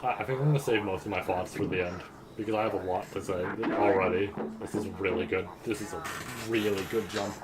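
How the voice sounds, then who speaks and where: A man's voice: lowish voice, fairly even intonation, brisk, few pauses, very noisy. Jittery man reacting on stream. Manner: jumpy, anxious reactions. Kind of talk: casual reaction commentary. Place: room at home with a second monitor.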